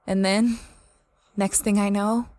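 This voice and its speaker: A woman's voice: mid-range voice, little pitch movement, takes it slowly, a little mellow, no room sound, clean studio sound, close to an everyday voice. A woman playing a space explorer who recounts a strange expedition in a conversational, first-person audio drama style. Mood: wounded, intense. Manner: reflective, conversational storytelling.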